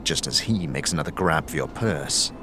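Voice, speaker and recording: A man's voice: a bass voice, lively intonation, moderate pace. A man narrating a fantasy tale in the second person as dramatic audio storytelling.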